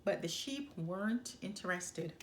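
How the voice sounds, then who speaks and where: Woman, children's storyteller, very slow pace, lively swooping intonation, deliberate read-aloud phrasing. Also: fairly low pitch, slight background noise. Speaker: storyteller, channel host.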